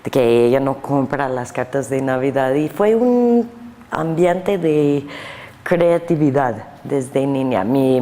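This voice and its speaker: Older American woman, English-accented, low and unhurried, reverberant room. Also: mellow. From United States. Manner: halting, mixes Spanish and English. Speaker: guest artist. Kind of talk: interview answers. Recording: long reverb, faint noise.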